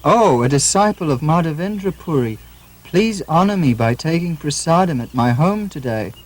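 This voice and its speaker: A man's voice: on the high side, lively intonation, normal speed. A man voicing a puppet of a polite, deferential young devotee, mild in manner, in a theatrical show style. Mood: eager hospitality.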